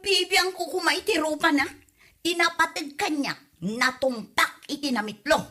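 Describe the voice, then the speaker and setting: A woman's voice: sits high, moderate pace, bright, forceful tone. A woman acting a hot-tempered married woman in a comedy drama, scolding and exclamatory. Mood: resentful. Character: furious scolding married woman confronting a gossip.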